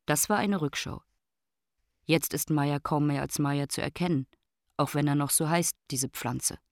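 A performer playing a grave, reflective narrator in a literary radio drama, speaking in measured, short statements. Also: mid-range voice, fairly even intonation, no room sound, studio quality, close to an everyday voice. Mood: solemn. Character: grave, reflective narrator recounting a dying friend.

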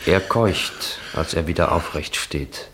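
An actor as the narrator of a German radio drama from the 1960s, delivering literary scene descriptions in a measured way. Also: male voice, a bass voice, a bit bright, exaggerated voice. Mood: measured, descriptive. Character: narrator describing a grotesque night town.

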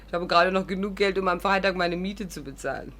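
A woman's voice: on the low side, fast, a bit bright, lightly acted. An actress playing a witty, stubborn young woman in a 1950s German radio play, with ironic, theatrical banter. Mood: indignant, sardonic. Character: witty, stubborn young English actress, hat lover, narrating. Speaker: actress narrating and playing the heroine. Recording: 1950s radio recording, music behind.

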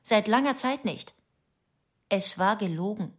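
An actress in a radio drama playing a suspicious, obsessive young wife giving testimony in terse, insistent fragments. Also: female voice, mid-range voice, lively intonation, unhurried, breathy edge. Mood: insistent, tense. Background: faint music.

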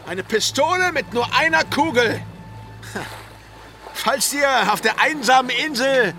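An actor playing a firm, authoritative pirate quartermaster who leads a mutiny in a radio play. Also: male voice, extremely high pitch, lively intonation, unhurried, pushed and bright, a little hiss or hum, full character voice. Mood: scornful.

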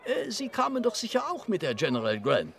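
An actor in a German audio drama playing a scheming, officious detective, formal, insistent and ingratiating. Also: male voice, sits high, wildly swooping pitch, fairly quick, gravelly, a bit bright, heavily acted.

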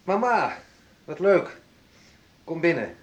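A man's voice: a little high, sing-song, slow pace. An actor playing an eager, nervously cheerful young male student in radio play dialogue.

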